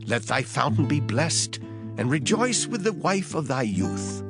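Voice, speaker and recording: A man of medium pitch reads scripture as a dramatic narrator. His delivery is solemn and declamatory, with wide, lively intonation. Guitar-like music plays clearly behind him. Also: a little slow. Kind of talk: dramatised scripture reading in archaic English.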